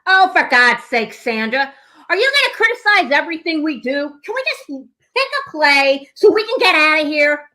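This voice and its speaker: Actress doing cranky snappy lady, super high piercing voice, sarcastic, stage comedy. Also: sing-song, moderate pace, sharp tone, heavily acted. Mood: exasperated. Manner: snappish, exasperated. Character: cranky, sarcastic woman, hard of hearing. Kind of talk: comic staged play reading.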